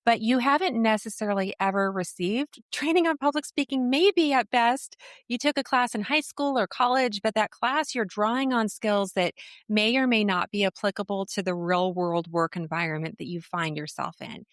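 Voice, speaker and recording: A middle-aged woman with an American accent speaks as an interview guest, articulate and enthusiastic in the way of a practiced coach. Her pitch sits in the middle range, and the sound is dry, with no room echo. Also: normal speed. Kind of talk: podcast interview, conversational explaining.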